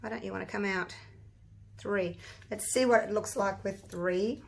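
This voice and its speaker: An Australian woman with a croaky, husky voice, chatting casually as she walks viewers through a resin craft step by step. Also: mid-range voice, steady tone, moderate pace. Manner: chatty, rambling, talks through each step. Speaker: resin craft channel host. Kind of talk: casual craft tutorial talk.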